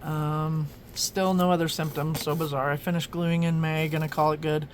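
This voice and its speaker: A woman's voice: deep voice, level tone, moderate pace, a little hiss or hum. Chatty woman from Oklahoma, rambling narration. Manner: chatty and rambling, many ums and restarts. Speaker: journaling vlogger. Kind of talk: casual narration flipping through a journal.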